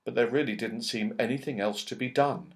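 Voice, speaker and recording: A man's voice: mid-range voice, a little fast. A man narrating a children's story as an audiobook, expressively, with dramatic emphasis and storyteller's phrasing.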